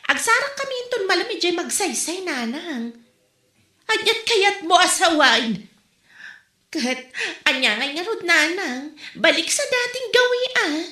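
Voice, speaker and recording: A woman acting lines in a comedic audio drama, in an animated, teasing manner. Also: high-pitched, echoey room.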